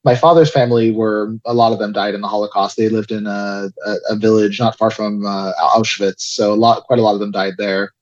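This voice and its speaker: A young man with a lowish voice tells his story as an interview guest. He hesitates and restarts his sentences often. The sound is dull and lo-fi. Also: steady tone, a little fast, few pauses. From Israel, raised in South Florida. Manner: hesitant, many restarts and fillers, soft laughs. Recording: dull lo-fi audio.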